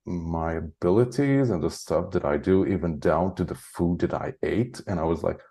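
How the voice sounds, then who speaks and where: A man with a deep voice, chatting casually as an interview guest, rambling with lots of "like" and frequent chuckles. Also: moderate pace, mellow. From Hungary. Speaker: guest, spiritual channeler.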